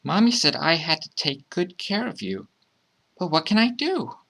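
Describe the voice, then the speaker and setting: A man's voice: fairly high pitch, big pitch swings, normal speed, vocal fry, sharp tone, pitched above the performer's own voice, exaggerated voice. A man voicing an earnest, worried young child in a storybook, pleading and calling out. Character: earnest, worried young child who loves their dog.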